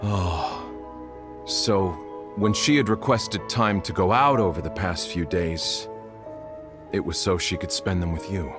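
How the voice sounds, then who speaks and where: An actor playing a solemn household doctor in a video game, speaking in a formal, measured way. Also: male voice, low-pitched, over music. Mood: solemn, regretful. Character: grave, measured male household doctor bearing sad news.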